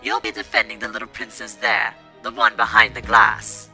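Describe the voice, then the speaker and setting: A man's voice: moderate pace, sharp tone, soft background music. A man voicing a mocking, menacing male prosecutor in a fan dub, taunting and sneering.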